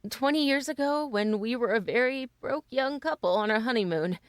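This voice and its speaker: Actress doing teasing eager middle-aged wife, radio play, voice swoops around, close dry sound. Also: female voice, a little high, animated, normal speed, no echo, natural voice. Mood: sentimental. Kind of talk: old-time radio drama acting.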